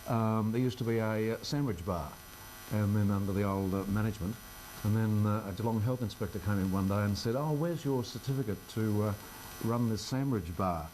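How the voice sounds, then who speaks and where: Low-pitched older Australian radio man, humming noise. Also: fairly quick, a little mellow, low-quality recording. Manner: informal, wry, self-deprecating. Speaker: local radio talk presenter. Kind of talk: casual interview chat. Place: radio studio.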